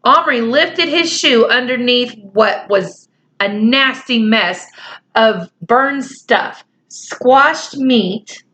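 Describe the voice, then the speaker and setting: A woman reading a children's chapter book aloud, slipping in chatty asides to her young listeners. Her voice is on the higher side and bright, with a clear edge. She takes her time, reading slowly and deliberately. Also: lively intonation. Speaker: storyteller.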